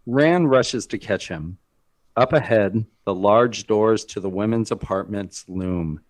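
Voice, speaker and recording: A man reading screenplay stage directions as narrator, in a measured, deliberate manner. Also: on the low side. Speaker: narrator of a script read.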